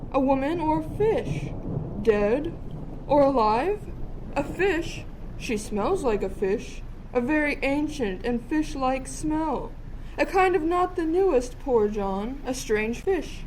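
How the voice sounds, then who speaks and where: A woman's voice: high voice, animated, slow pace, a little mellow, slight background noise, poor audio. A woman playing a jittery, inquisitive shipwrecked jester in a theatrical Shakespearean radio play. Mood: wary curiosity. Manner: theatrical, musing in archaic prose.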